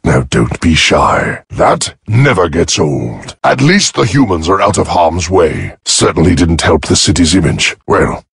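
Very gravelly cocky robot soldier. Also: male voice, low-pitched, sing-song, unhurried, dry recording, clean studio sound, full character voice. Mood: swaggering, menacing. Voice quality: gravelly, raspy. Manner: swaggering, wisecracking delivery. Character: cocky, gruff, battle-loving giant robot soldier. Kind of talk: video game voice acting.

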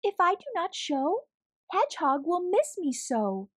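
A woman's voice: very high voice, sing-song, a little slow, voice pushed up, exaggerated voice. A woman voicing an eager, indignant female bunny in a storybook, with emphatic delivery. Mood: self-assured.

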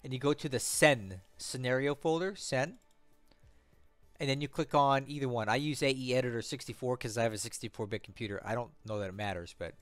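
A man's voice: mid-range voice, fairly quick, no room sound, faint music behind. Stuffy-nosed man talking through a tutorial. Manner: conversational, repeats "right?" and "okay?", thinks aloud. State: stuffy from a cold. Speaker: strategy game tutorial host. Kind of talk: casual screen-share tutorial.

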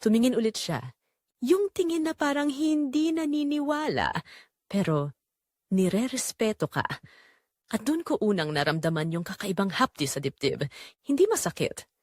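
A woman performs a neglected wife in her forties, wistful and conflicted. Her pitch moves in lively swings as she confides in short dramatic phrases. The recording is dry and close, in the style of an audio drama. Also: normal speed, long pauses, no echo. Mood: conflicted, longing. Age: 40s. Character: Rosa, lonely neglected forty-three-year-old wife, wistful and conflicted. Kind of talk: first-person dramatic audio story narration.

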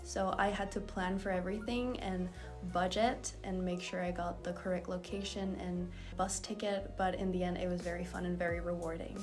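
A woman's voice: fairly low pitch, fairly even intonation, moderate pace. A young woman from Argentina, a university student talking upbeat through her year to camera. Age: young adult. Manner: upbeat, talks through her months in turn. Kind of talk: interview to camera. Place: indoor university building lobby. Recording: music playing under the voice.

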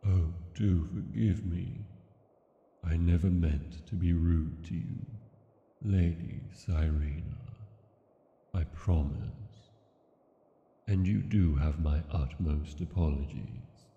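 A man acts out a courtly, sly dragon king for a fantasy audio drama. The voice is low and gravelly, speaking slowly with teasing, silky humility. It rings in a long, cavernous reverb. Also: fairly even intonation, dark tone, dull audio. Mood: smooth apology. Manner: slow, courtly, teasing, falsely humble. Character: smooth, scheming, courtly male dragon king lover. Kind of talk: fantasy romance audio roleplay acting.

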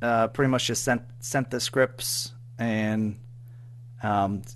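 A man speaking as an interview guest in casual chat, hesitant, halting and self-effacing as he talks about his own work. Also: medium pitch, steady tone. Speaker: guest, audio drama writer and producer.

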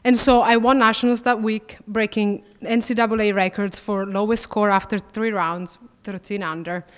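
A woman's voice: mid-range voice, fairly even intonation, normal speed. Young Italian woman in a reverberant hall. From Italy. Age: 20s. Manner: warm and self-deprecating with light humor. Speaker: student athlete. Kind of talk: prepared speech at a dinner. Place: large banquet hall, at a podium.

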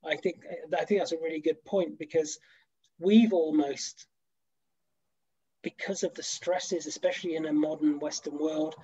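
A man's voice: fairly high pitch, fairly even intonation, normal speed, dark tone, slightly rough. A middle-aged man hosting a casual interview over a video call from his home office, chatty and agreeable. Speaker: host. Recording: dull lo-fi video call audio.

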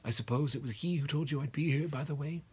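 Man voicing a high-pitched, gentle old wizard. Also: slightly rough. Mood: serious, calm. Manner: gentle, measured, polite. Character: calm, kindly old male wizard, gently whimsical.

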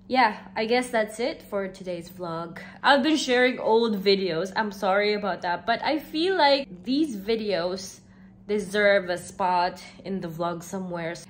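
A woman's voice: medium pitch, a little slow. A woman, chatting casually to camera as a vlogger from an armchair in a small room, laughing between sentences. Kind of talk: casual vlog chat to camera.

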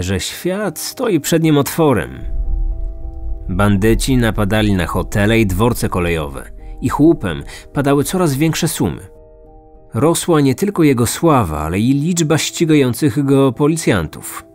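Guy in his 30s reading a crime story, medium pitch, music behind. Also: a little mellow. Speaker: true crime podcast host. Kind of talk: scripted narration.

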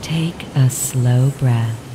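A woman reading a guided relaxation script in calm, measured, repetitive instructions, with ocean waves behind her. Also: very deep voice. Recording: ocean surf noise with added reverb.

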